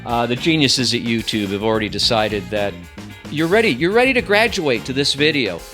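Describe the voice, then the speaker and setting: Bright-voiced man casually reviewing gear. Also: medium pitch, animated, fairly quick, faint music behind. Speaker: host of an audio gear review channel. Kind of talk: casual product review to camera.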